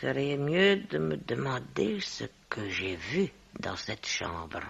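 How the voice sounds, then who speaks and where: An actress playing a sly, nosy hotel woman turned amateur detective in a radio mystery, husky and gravelly, deliberate, insinuating and dryly detached. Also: very deep voice, sing-song, heavily acted. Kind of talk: French radio mystery drama acting. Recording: dull vintage-style radio recording.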